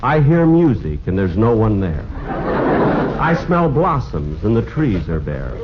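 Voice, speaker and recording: Worried sad-sack patient, plaintive and animated, old radio comedy, muffled hissy sound. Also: male voice, fairly low pitch, measured pace. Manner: plaintive, comic timing. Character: anxious, hapless male patient seeking a specialist. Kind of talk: 1950s radio comedy acting.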